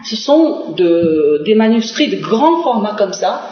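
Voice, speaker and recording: A middle-aged woman from Korea speaks, answering a TV interviewer as a diplomat. She pauses often, fills gaps with "euh" and restarts her phrases. The sound is roomy and dull, like an old lo-fi broadcast. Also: mid-range voice, very animated, soft background music. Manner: hesitant, many fillers and restarts.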